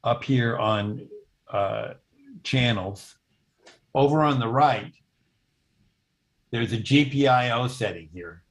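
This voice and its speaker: A man in his seventies giving an explanatory technical demo over a video call, often pausing mid-sentence as he goes. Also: mid-range voice. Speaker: presenter demonstrating intercom software. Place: indoor room at home, on a video call.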